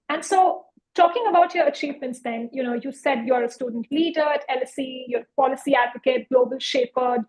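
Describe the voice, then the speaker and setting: A woman's voice: high-pitched, rough recording. A woman hosting a youth panel as moderator, speaking in an effusive, encouraging way.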